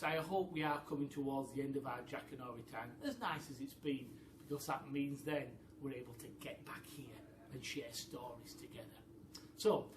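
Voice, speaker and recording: A man with a British accent telling a story to children like a primary school teacher, expressive and addressing them directly. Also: on the high side. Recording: echoey room, distant mic, faint noise.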